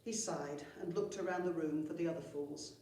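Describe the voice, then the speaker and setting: A woman's voice: sits low, normal speed, warm tone. A woman reading a children's story expressively, as a storyteller at a primary school. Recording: very echoey room, far from mic.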